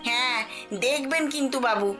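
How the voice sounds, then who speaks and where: A woman's voice: high-pitched, brisk, over music, lightly acted. A woman with a West Bengal accent voicing a concerned, insistent and suspicious villager in a cartoon. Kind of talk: cartoon voice acting.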